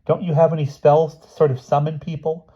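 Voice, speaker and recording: Man doing an earnest chatty storybook girl, raised pitch, bit husky, eager. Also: level tone, fairly quick, warm tone, dull audio. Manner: eager, artless questioning.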